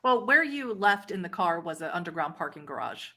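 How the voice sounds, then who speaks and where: A woman's voice: lowish voice, big pitch swings, brisk, sharp tone. A woman speaking as game master of a streamed tabletop roleplaying session, agreeing readily with players.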